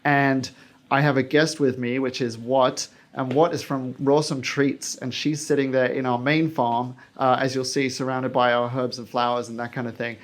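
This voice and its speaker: A man's voice: mid-range voice, level tone, normal speed. A man hosting a podcast, interviewing a guest in a conversational, enthusiastic manner. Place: small basement room on an indoor farm.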